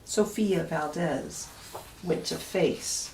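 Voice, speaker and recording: Echoey, slow-paced woman reading a storybook. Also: sits low, gravelly, a little mellow, a little hiss or hum. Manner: dramatic emphasis with deliberate pauses. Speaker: children's author reading a picture book. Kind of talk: expressive storytime read-aloud of rhyming verse. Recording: roomy echo, distant mic.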